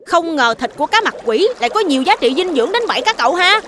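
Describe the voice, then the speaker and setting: A woman voicing an eager, excitable, chubby little kid in a children's cartoon. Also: very high voice, quick pace, a little hiss or hum, full character voice. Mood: excited. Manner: excitable, chirpy.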